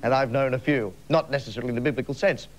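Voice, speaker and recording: A man's voice: mid-range voice, racing. An Australian man hosting live TV comedy, telling a mock bedtime story with campy, cheeky asides, on a noisy recording. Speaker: comedy show host and storyteller. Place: television studio, live. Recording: noisy live TV studio sound.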